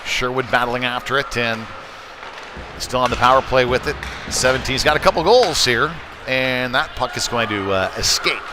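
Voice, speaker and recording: Male hockey announcer, mid-pitched, unscripted and halting play-by-play, noisy live rink recording. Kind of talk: live sports commentary.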